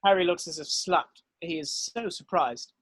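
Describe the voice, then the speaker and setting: A man's voice: sits high, moderate pace, breathy edge, no echo. A man reading stage directions as narrator in a scripted style.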